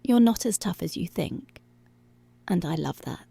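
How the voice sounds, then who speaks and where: A commanding policewoman toys with her captive, acted out by a woman, in a soft, dark voice with plenty of creak. She taunts seductively and takes her time, sliding into whispers, in an intimate, very dry close-up ASMR style. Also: on the low side, expressive, a little slow, dry recording, exaggerated voice. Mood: taunting, seductive. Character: dominant, teasing, menacing policewoman toying with her captive. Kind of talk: intimate ASMR roleplay, slow and breathy.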